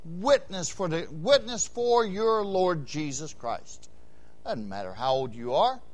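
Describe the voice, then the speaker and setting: Sixties male Baptist preacher, high-pitched, rhythmic repetition, loud emphatic peaks. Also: big pitch swings, slow pace. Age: 60s. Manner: preaching with big swings between quiet asides and loud shouted emphasis; repeats phrases in rhythmic runs. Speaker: Baptist pastor. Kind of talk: sermon.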